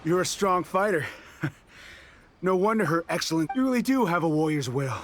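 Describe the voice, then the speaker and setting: Man playing a brash, high-pitched resistance soldier. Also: lively intonation, normal speed, a little hiss or hum, natural voice. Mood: admitting. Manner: emphatic, challenging, rhetorical. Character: brash, hot-headed resistance soldier, distrustful of peace. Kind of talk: video game character acting.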